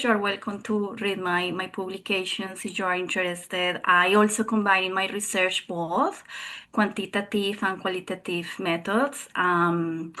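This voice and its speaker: Woman, Colombian accent, bright tone, earnest panelist on a video call, hesitant pacing. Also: mid-range voice. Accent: Colombian Spanish. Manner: earnest, with frequent hesitations and tag questions. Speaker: researcher and consultant on a panel.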